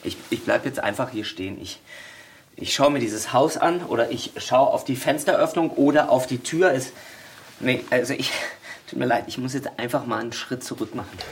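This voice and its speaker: An actor playing an uneasy, reluctant male aid worker in a naturalistic radio play, halting and repeating himself. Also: medium pitch, slight background noise, close to an everyday voice. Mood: reluctant, upset. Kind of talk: naturalistic German radio drama dialogue.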